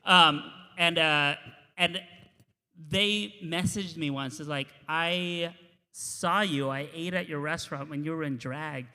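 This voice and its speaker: An earnest man drag performer reflects on a personal story at a panel. His voice is bright and forceful and sits a little high. The room adds a long hall reverb. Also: slow, clean tone. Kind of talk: panel discussion, personal anecdote. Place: university event hall.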